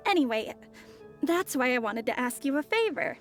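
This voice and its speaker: An actress playing a poised, mysterious foreign woman traveler in a video game, soft-spoken and courteous. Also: high voice, sing-song, moderate pace, soft background music.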